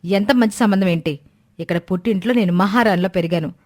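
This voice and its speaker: A woman's voice: medium pitch, fast talker, a little mellow, lightly acted. A spoiled young sister-in-law, voiced by a woman complains in a mid-range voice. She is haughty and petulant, a pampered figure from a storybook drama.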